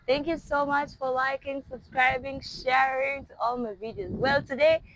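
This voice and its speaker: A woman's voice: sits high, fairly even intonation, normal speed, a bit bright, slight background noise, poor audio. A woman hosting an informal, chatty interview, often chiming in as she listens. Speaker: host interviewer.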